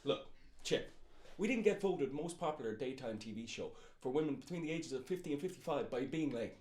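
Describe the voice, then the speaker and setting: A man's voice: medium pitch, animated, brisk, darkish tone, roomy sound, lightly acted. A man playing a flustered, exasperated TV awards host with a showy presenter delivery in a comedy sketch. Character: flustered, exasperated male awards-show TV host named Tom.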